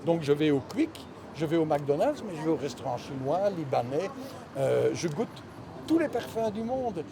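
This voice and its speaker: A man's voice: a little high, big pitch swings, moderate pace, background noise. A man from Belgium, a native Dutch speaker, answering casually as an interviewed cartoonist. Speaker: interviewed cartoonist and press illustrator. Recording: busy crowd chatter around the voice. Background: crowd chatter.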